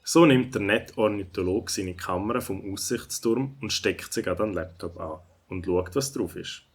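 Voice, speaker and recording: Storyteller for a kids' audio play: man, Swiss German, deep and slightly rough-breathed. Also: normal speed. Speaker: narrator. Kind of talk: storytelling narration for children's audio drama.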